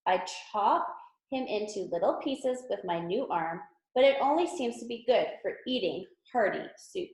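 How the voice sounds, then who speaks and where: A woman's voice: medium pitch, animated, normal speed, a little mellow, close to an everyday voice. A woman reading a children's storybook as a grumpy, long-suffering stuffed toy, with a sardonic, exasperated manner. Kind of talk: children's storybook read-aloud. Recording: echoey room.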